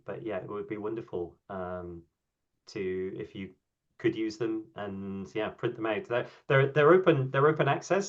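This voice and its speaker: A man speaking on a video call as a workshop speaker, answering questions in a relaxed way with many ums and restarts. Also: fairly low pitch. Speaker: invited speaker at an online workshop. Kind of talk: conversational talk and answers. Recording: dull, lo-fi video call audio.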